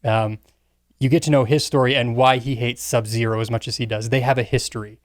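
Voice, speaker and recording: A man's voice: mid-range voice, fairly even intonation, brisk, no room sound. Man talking movies, dry close sound. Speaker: podcast co-host. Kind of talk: casual film discussion.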